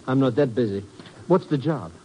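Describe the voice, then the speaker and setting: An actor playing an excitable, shifty small-time smuggler, a comic cowardly sidekick, in a 1950s radio drama. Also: male voice, medium pitch, normal speed, lightly acted. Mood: sly, ingratiating. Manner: wheedling, blustering, comically indignant. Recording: muffled, hissy 1950s radio recording.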